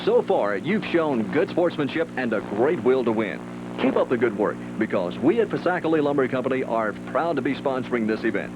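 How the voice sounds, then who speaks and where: Music plays clearly behind a male radio announcer on an old taped broadcast. He delivers a scripted sponsor message, his pitch rising and falling in an animated way. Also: a little fast, rough recording. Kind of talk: scripted local sponsor ad read.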